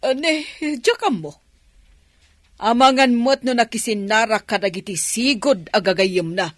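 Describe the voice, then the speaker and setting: A woman's voice: on the high side, measured pace, no echo, studio quality, lightly acted. A woman with an Ilocano Filipino accent playing an anxious, fretting older mother in a radio drama. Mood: worried. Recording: noisy vehicle ambience behind.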